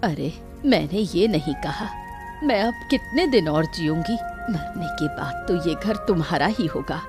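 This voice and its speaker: A woman plays a long-suffering elderly mother in a story drama. Her voice sits lowish and swings expressively as she pleads humbly, with clear music underneath. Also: lively intonation, measured pace. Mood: anxious, placating. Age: older. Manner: pleading, self-effacing. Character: meek, long-suffering old mother-in-law, devoted to her son. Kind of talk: Hindi audio story acting.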